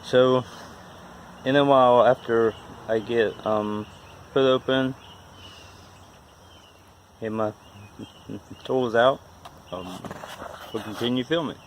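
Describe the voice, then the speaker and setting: A man in his forties with a stutter talks casually and haltingly in a folksy, self-deprecating vlog. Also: medium pitch, a little hiss or hum. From West Virginia, United States. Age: 40s. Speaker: vlogger, amateur car mechanic. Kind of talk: casual unscripted vlog talk.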